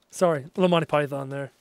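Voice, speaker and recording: A man's voice: a little high, moderate pace, gravelly, clear, resonant tone. A man streaming a game live, chatting casually with viewers and reading out their messages, his voice worn and tired. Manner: chatty, reads out viewer messages, easily excited. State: losing his voice, low on energy. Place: at his desk at home.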